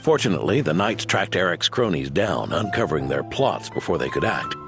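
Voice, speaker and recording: An actor playing an aging, modest veteran knight who speaks calmly and with self-deprecation in a game cutscene, with background music. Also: male voice, low voice, brisk. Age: older. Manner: calm, reflective, self-deprecating. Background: background score.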